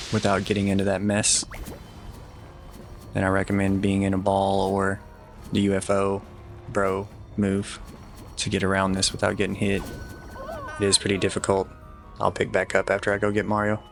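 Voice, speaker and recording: A young man talks through a video game walkthrough in an easygoing, instructive way, now and then poking fun at his own mistakes. His voice is low and gravelly, with a flat, level delivery. Faint game music plays behind him. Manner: easygoing, instructive, slightly self-deprecating. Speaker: gameplay guide commentator. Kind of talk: relaxed walkthrough commentary.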